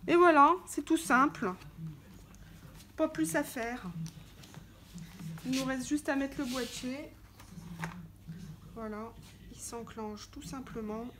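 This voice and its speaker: A woman's voice: fairly high pitch, a bit bright, slight background noise. A woman giving an informal, hands-on product tutorial, explaining step by step as she handles the parts. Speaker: tutorial presenter for a motorcycle gear channel. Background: background music.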